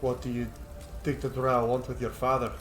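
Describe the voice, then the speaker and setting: A man's voice: mid-range voice, monotone, normal speed, a bit bright, soft background music. A man giving casual commentary as he plays through a game, in an echoey room with noise behind him. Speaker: gaming video host, player.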